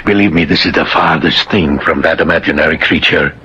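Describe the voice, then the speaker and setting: A man's voice: extremely low pitch, fairly quick, bright, forceful tone, husky, full character voice. An actor playing a proud, obsessive older scientist and father, declaiming grandly in a 1950s radio drama. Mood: proud, intent. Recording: muffled, noisy 1950s radio recording.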